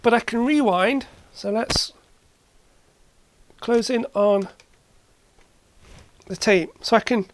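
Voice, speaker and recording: Casual tech hobbyist host, mid pitch, halting delivery, matter-of-fact, thinking aloud through a demo. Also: a little hiss or hum. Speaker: host, retro computer hobbyist. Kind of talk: casual hands-on demonstration. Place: indoor cluttered workshop.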